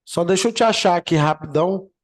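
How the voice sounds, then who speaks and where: A man with a Brazilian accent, an apostle teaching a healing course over a video call, energetic and emphatic as he answers questions. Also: high voice, quick pace. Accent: Brazilian Portuguese. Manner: energetic preacher, swells and emphasizes key words. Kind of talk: live online teaching with Q&A.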